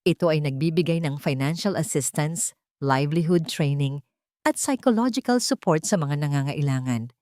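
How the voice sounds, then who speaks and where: A woman's voice: deep voice, expressive, moderate pace, warm tone, no echo, clean studio sound. A woman reading a scripted, sentimental love story as its storyteller.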